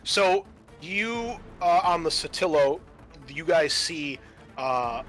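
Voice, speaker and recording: A man's voice: fairly high pitch, expressive, slow, fairly bright tone, soft background music. A man hosting as game master, narrating a tabletop roleplaying game in a casual, often profane manner.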